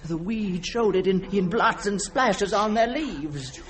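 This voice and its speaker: Slow, haunted stammering man. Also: sits high, lively intonation, a bit bright, heavily acted. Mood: fearful, agitated. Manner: halting, stammering, theatrical delivery with repeated words. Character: haunted, guilt-ridden man recounting his nightmare in terror. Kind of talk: old-time radio mystery drama monologue. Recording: old radio drama with music underneath.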